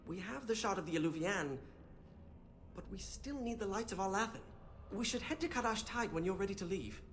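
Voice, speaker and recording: Fantasy game role: curious young male elf scholar-mage, lively and expressive, noisy ambience behind. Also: high voice, brisk. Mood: businesslike. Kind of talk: video game voice acting.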